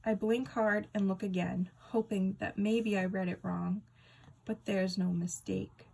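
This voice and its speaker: A woman reading a children's book aloud as a storyteller, with expressive, dramatic and emphatic delivery. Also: mid-range voice, unhurried, creaky, husky, poor audio.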